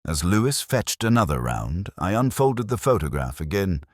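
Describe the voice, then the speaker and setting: Man as a melancholy detective narrator, very low voice, lively intonation, measured, introspective, literary mystery storytelling. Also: no room sound, clean studio sound. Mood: brooding, reflective. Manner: measured, reflective, literary phrasing. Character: melancholy, introspective male police detective recounting a case. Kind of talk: scripted first-person narration of a detective mystery.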